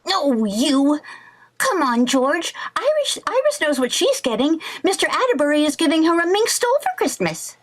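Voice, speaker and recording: A woman's voice: high voice, very animated, moderate pace. A woman playing a playful, scheming, wheedling housewife in a 1940s-style radio comedy, coaxing and theatrical.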